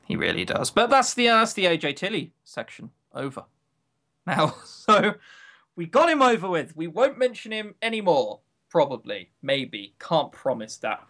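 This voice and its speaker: A man's voice: sits high, sing-song, moderate pace, bright, forceful tone. A man co-hosting a podcast in casual, opinionated chat, grumbling, sarcastic and given to swearing. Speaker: podcast co-host.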